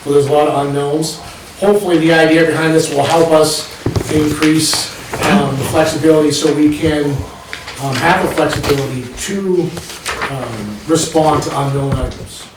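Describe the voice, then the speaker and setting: Brief: male presenter at town meeting, slightly high voice, distant mic in a very echoey room with background noise. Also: fairly even intonation, moderate pace. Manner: explanatory, walks listeners through pages, frequent ums. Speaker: planning board member presenting.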